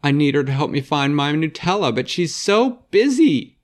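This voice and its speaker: A man's voice: very animated, normal speed. A man voicing a worried young girl in a storybook, pleading in a raised voice. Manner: pleading, higher-pitched.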